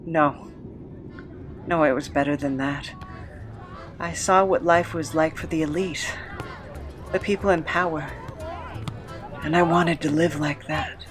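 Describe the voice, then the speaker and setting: Haunted ex-captive, weary, halting confession, audio drama, music behind acted out by a performer. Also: medium pitch, fairly even intonation, lightly acted. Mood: wistful, pained. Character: haunted, weary former captive creature recounting a cruel past.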